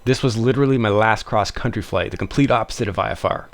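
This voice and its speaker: A man's voice: lowish voice, fast. A man narrating a vlog in voice-over as its host.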